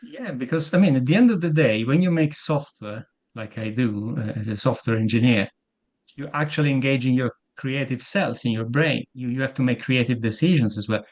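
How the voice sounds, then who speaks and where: A man's voice: mid-range voice, brisk, darkish tone. A man from Italy with a thick Italian accent, a guest in a casual interview, often stumbling and repeating words as he starts his sentences. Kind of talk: casual video-call interview.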